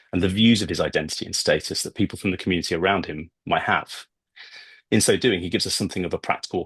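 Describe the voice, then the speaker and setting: A British man giving an academic talk, speaking in a halting, discursive way with asides. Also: low voice, fairly bright tone, breathy edge, dry recording. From Britain. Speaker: guest lecturer, researcher of ancient history. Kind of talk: academic talk with slides, semi-scripted.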